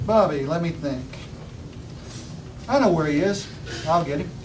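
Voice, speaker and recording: An effusive, absent-minded sci-fi professor, read aloud by a man at a table read. The voice is a little high and halting, picked up from across a clearly noisy restaurant. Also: animated. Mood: vague. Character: distracted, exuberant male scientist professor, oddly vague. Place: busy restaurant table, far camera. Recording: distant mic, noisy restaurant room.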